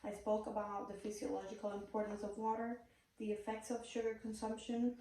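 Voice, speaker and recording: Puerto rican accented woman giving a speech, earnest, mellow tone, super echoey room and mic way off. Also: medium pitch, monotone, brisk, far from the mic, slight background noise. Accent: Puerto Rican Spanish. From Puerto Rico. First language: Spanish. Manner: earnest, direct, occasional "um" fillers. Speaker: speaker giving a persuasive speech. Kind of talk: prepared persuasive speech.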